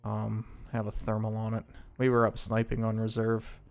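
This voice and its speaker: A man's voice: on the low side, monotone, normal speed, gravelly, soft background music. A man streaming a video game live, chatting casually with viewers, rambling with lots of fillers as he loots and sorts gear.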